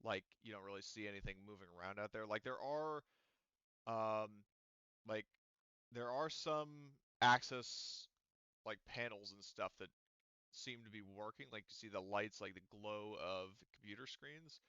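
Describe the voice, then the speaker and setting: A man with a low, smooth voice runs a tabletop roleplaying game, describing scenes and rules with frequent ums and restarts. The recording is dry and somewhat dull, from a home mic. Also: unhurried, bright, forceful tone, no echo. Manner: hesitant, filler-heavy explaining. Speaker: game master.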